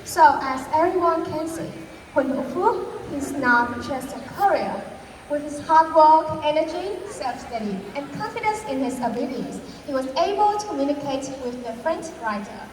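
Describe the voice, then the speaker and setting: A woman's voice: high voice, animated, normal speed. A woman with a Vietnamese accent hosting a talk show in a school role-play, speaking in a careful, rehearsed presenter style. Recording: echoey hall, distant mic, background noise.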